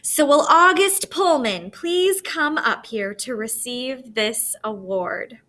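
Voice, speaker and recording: Earnest, bright-toned school principal voiced by a woman. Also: high-pitched, lively intonation, full character voice. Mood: solemn, moved. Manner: earnest, measured, oratorical. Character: dignified male school principal giving a ceremonial speech. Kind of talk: formal speech in a storybook reading.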